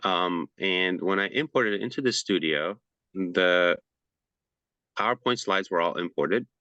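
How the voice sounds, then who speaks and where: A man's voice: low voice, measured pace, bright, forceful tone, no room sound, muffled recording. A man giving a casual presentation over a video call, chatty and loose, with frequent fillers and restarts.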